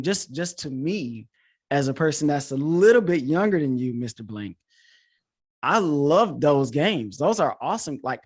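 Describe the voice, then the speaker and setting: A man hosting a podcast in a casual, informal chat, talking with enthusiasm like a coach. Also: medium pitch. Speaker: podcast host; coach and teacher.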